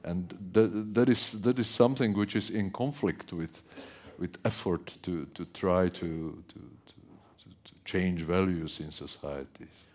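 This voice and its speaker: Very deep slow hesitant man. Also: darkish tone. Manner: hesitant, repeating words. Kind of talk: debate remarks.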